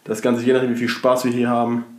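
A man's voice: medium pitch, monotone, quick pace, a little mellow, a little hiss or hum. Guy with a bit of a cold rambling on his podcast, lots of ähm, roomy echo. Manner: rambling, lots of fillers and restarts. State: slightly congested from a cold. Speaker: podcast co-host. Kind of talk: casual chat. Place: shared flat, indoors.

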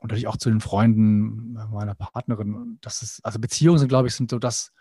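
A man's voice: on the low side, steady tone, fast, warm tone, breathy edge, no echo, clean studio sound. A middle-aged man guesting on a podcast, talking earnestly and with animation, often acting out little quoted inner voices. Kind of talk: reflective conversational interview.

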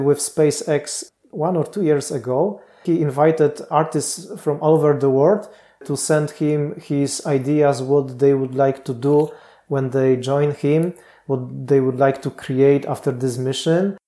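A man's voice: a little high, steady tone, dark tone. A man with a strong Polish accent, a Mars mission candidate answering interview questions in a thoughtful way, often stopping to restart. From Poland. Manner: thoughtful, frequent restarts and pauses.

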